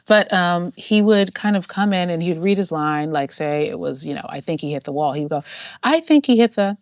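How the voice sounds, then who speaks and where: Playful woman with a lowish voice. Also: animated, dry recording, studio quality. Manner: playful, quick to laugh. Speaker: podcast co-host. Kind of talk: casual chat.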